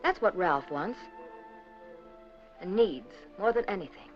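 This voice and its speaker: A woman delivering scripted 1950s documentary narration in an earnest, measured, rhetorical manner. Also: on the low side, animated. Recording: dull 1950s film soundtrack, music behind. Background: background music.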